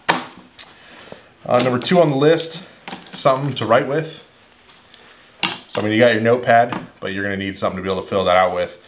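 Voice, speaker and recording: A man's voice: fairly low pitch, fairly bright tone, slight background noise. A man in his thirties, an HVAC technician, casually going over his everyday tools in a workshop, rambling and repeating words as he thinks. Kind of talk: casual tool review talk to camera. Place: indoor workshop, at a wooden table.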